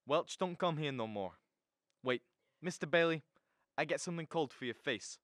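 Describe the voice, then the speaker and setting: A woman's voice: low-pitched, animated, normal speed, no room sound, studio quality. A teenage girl playing a protective immigrant tavern keeper, a man, in a radio drama, speaking in insistent, simple clipped phrases. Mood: worried, soothing.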